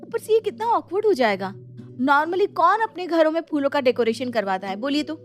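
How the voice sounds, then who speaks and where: A woman voicing a sensible young daughter-in-law who protests in a lively, exasperated way in an animated story. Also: high-pitched, brisk, soft background music, pitched above the performer's own voice. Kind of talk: animated Hindi moral story acting.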